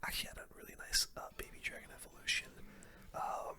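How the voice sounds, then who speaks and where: A video game streamer giving casual ASMR gameplay commentary in a soft, breathy whisper, with relaxed gamer slang. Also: quick pace. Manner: casual gamer slang, murmured reactions to play.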